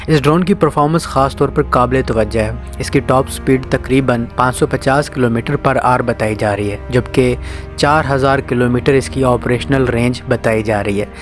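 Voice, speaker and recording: A man narrates a scripted news explainer in a formal, measured way. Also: medium pitch. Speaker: narrator of a defence news channel. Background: background music.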